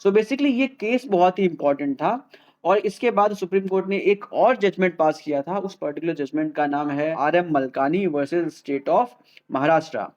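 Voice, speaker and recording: Indian man, fairly high voice, didactic explainer host, repeats key points, slightly muffled lo-fi sound. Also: moderate pace, darkish tone. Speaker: host of a legal explainer channel. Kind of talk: explainer to camera, mixing Hindi and English.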